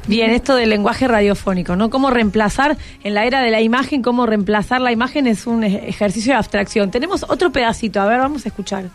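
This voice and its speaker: Higher-voiced Argentine woman hosting radio. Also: quick pace, few pauses, soft background music. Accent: Argentine, Buenos Aires. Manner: encouraging, prompting with tag questions. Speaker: radio host. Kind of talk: casual interview.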